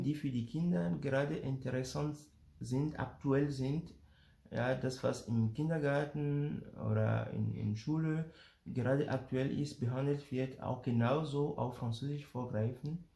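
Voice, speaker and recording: A man from Benin talks slowly with a gravelly, mellow voice, stopping often on fillers and restarting his words. The sound carries a noticeable echo of the room around him. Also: medium pitch. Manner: slow, hesitant, many fillers and repeated words. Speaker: father sharing family experience. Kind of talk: casual explanatory talk to camera.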